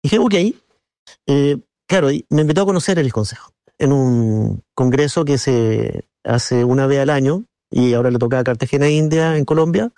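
A man's voice: medium pitch, normal speed, warm tone, no echo, studio quality. A man from Chile with a Chilean accent, answering in an interview in an earnest, colloquial, anecdotal way. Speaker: interview guest, businessman and board member of a business council.

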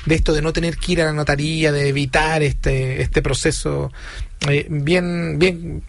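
Host firing off questions. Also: male voice, a little high, fairly even intonation, unhurried, creaky, slight background noise. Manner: chatty, strings several questions together. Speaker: interview host. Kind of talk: interviewer asking questions.

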